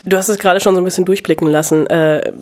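A woman hosting a casual interview, asking quick questions in a playfully teasing way. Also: lowish voice, steady tone, darkish tone. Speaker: podcast host.

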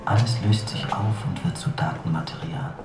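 An actor performing a solemn male voice that recites poetic philosophical fragments in a measured, deliberate way for a radio play. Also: on the low side, over music, close to an everyday voice. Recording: loud watery ambient noise behind.

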